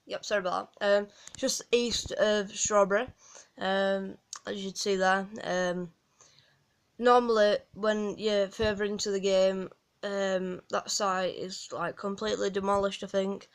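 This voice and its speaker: Flat-voiced Yorkshire woman chatting casually. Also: mid-range voice, measured pace, smooth. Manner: rambling, many ums and restarts. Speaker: gaming guide creator. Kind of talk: casual game walkthrough commentary.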